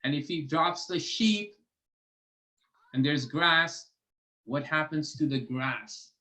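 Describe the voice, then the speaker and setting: A man's voice: on the high side, slow pace, pushed and bright. A man hosting a children's storytime and trivia over a video call, explaining step by step in a patient, encouraging way. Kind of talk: casual teaching to children.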